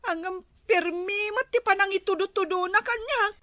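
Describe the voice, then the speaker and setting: Actress as aggrieved Ilocano village woman in comedy drama, very high, sharp voice. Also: moderate pace, dry recording. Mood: sulky, complaining.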